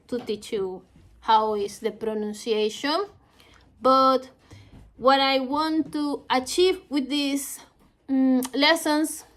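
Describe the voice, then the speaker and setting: Woman, Spanish accent, native Spanish speaker, language teacher, high-pitched, unhurried, hesitant. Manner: halting, many fillers and restarts. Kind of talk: casual video lesson introduction.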